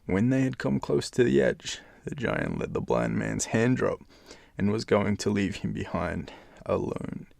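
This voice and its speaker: A man's voice: on the low side, big pitch swings, measured pace, vocal fry, a little mellow, dry recording, clean studio sound. A man with an Australian accent narrating a bedtime fairytale expressively, as a storyteller. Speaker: storyteller, channel host.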